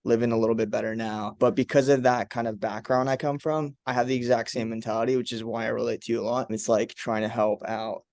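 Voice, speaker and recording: Young male host from Uzbekistan, easygoing chat style on a video call, mid pitch, dry close sound. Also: quick pace, few pauses, dry recording. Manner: relaxed, filler-heavy, with many "like"s. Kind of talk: casual interview chat.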